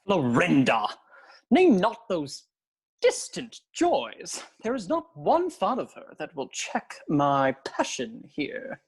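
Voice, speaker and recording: A woman's voice: on the low side, huge pitch swings, takes it slowly, slightly rough, full character voice. A woman playing an ardent, hot-tempered young nobleman in a period comedy, speaking in grand archaic phrases. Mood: passionate. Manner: grand, declamatory, archaic speech. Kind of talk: period stage comedy audio drama.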